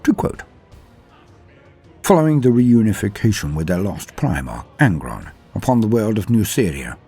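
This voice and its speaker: Deep, dark-voiced man narrating gravely. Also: sing-song, moderate pace. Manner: grave, dramatic storytelling. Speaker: host narrator of a lore channel. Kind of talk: scripted lore narration. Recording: faint music behind. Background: faint music.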